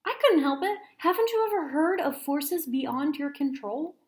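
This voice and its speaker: A woman's voice: high voice, expressive, normal speed, voice pushed up. A woman voicing a cheeky, impulsive young girl who protests and pleads, for a children's storybook. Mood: indignant protest. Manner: exclamatory, protesting. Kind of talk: children's storybook read-aloud character voice.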